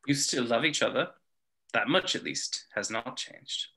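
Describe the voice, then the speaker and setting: A man playing a devoted, polite butler in a table read of an animated film, with formal, gently probing phrasing. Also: mid-range voice, unhurried, poor audio. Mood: gentle concern.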